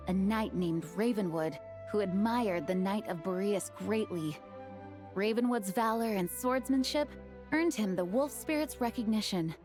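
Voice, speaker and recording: A dutiful female knight leader acted by a woman, medium in pitch and composed. She speaks formally and with measured care, as in video game dialogue, over faint music. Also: close to an everyday voice. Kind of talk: video game character acting.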